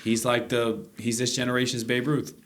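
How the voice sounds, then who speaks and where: A man's voice: medium pitch, level tone, normal speed. Male panelist, New York accent, mid-range voice, slangy rambling sports banter. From Queens, New York. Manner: slangy, rambling, quotes imagined speakers. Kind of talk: casual sports chat.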